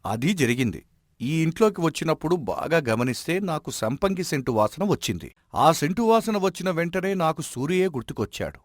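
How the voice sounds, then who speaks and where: Wise village elder for a moral cartoon, played by a man: slightly high, very animated pitch, measured counsel, dry studio sound. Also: dry recording. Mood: assured. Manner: measured, advising, admonishing. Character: wise, respected village elder who settles disputes. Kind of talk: animated moral story acting.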